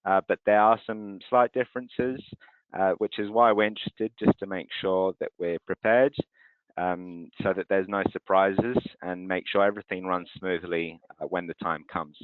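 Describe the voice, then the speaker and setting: A man from France, presenting for a currency transfer firm in a webinar and running audience polls in a clear, steady way. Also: sits low, moderate pace, no room sound. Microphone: video call. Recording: tinny phone-like call audio.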